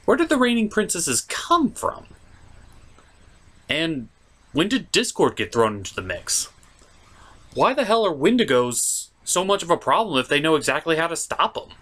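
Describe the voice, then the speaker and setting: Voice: medium pitch, very animated, normal speed, fairly bright tone. A host delivering a comedic, scripted review with sarcastic, emphatic and sweary narration.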